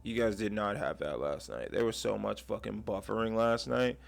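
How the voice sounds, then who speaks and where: A man who is sick with a raspy voice, hosting his channel and ranting in a casual, profane way from his man cave at home. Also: lowish voice, moderate pace. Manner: profane, heated rant. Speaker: channel host.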